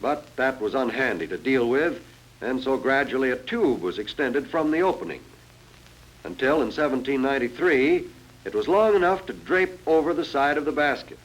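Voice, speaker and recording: A man's voice: medium pitch, lively intonation, moderate pace, low-quality recording. A man narrating a 1940s educational film in a formal, instructive, scripted manner. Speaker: narrator of a military training film. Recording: 1940s film soundtrack, faint music.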